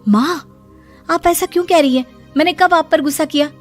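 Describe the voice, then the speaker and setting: Woman doing a young teacher who's sick of marriage talk, high voice, soft music. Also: brisk. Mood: defensive. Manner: defensive, exasperated. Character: unmarried schoolteacher woman around thirty, defensive. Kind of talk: cartoon voice acting.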